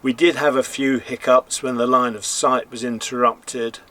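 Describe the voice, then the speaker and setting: A man giving an informal gear review, explaining things in a measured, careful way. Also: mid-range voice, flat delivery, sharp tone. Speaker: presenter reviewing and testing gear. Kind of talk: informal product review commentary.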